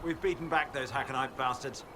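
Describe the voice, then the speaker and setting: An actor playing a gruff, dutiful male army lieutenant in a video game, reporting firmly in military fashion. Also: mid-range voice, steady tone, fairly quick, lightly acted. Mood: firm, weary. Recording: game audio over noisy outdoor ambience.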